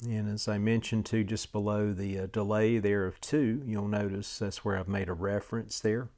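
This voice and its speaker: A man presenting an informal technical tutorial as an electronics hobbyist, speaking haltingly with frequent uhs in the middle of phrases. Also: fairly low pitch, steady tone, darkish tone.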